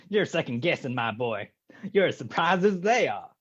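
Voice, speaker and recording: A suave male radio-play villain, acted by a man, gloating and urbane. He drawls slowly and smugly with wide, theatrical swings of pitch. The sound is dull and lo-fi, like a video call. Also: big pitch swings, breathy edge, heavily acted. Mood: amused, gloating. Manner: smug, drawling, chuckling politeness. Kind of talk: old-time radio detective acting.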